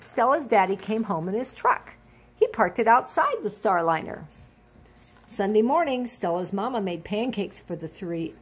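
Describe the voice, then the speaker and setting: A woman's voice: medium pitch, slightly rough. An older woman storyteller reading a children's picture book aloud. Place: indoors, at a desk at home.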